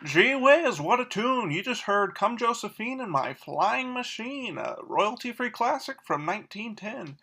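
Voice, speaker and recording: Guy doing an old timey 1940s radio host, peppy showy patter, kinda high and bright. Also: big pitch swings, a little slow, few pauses, husky, full character voice. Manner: folksy, showy announcer patter.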